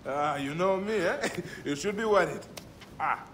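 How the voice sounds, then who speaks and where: An actor playing a driven, vengeful warrior of ancient Egypt in a video game, with a terse, resolute manner. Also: male voice, sits high, lively intonation, unhurried, fairly bright tone, in a large hall, a little hiss or hum. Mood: fond, dismissive. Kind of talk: video game cutscene acting.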